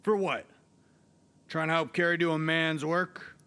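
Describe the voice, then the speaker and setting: A man's voice: on the high side, fairly even intonation, unhurried, pushed and bright, smooth. A man acting in a Western radio play as a firm, authoritative marshal who admonishes and keeps the peace. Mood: stern, warning. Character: firm, level-headed Old West marshal.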